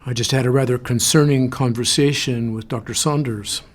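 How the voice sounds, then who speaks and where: Man playing stern commanding officer, firm, measured, medium-pitched voice, audio drama. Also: steady tone, close to an everyday voice. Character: stern male superior officer, firm, measured, reluctantly disciplining. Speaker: boss of an agent. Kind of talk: audio fiction drama acting.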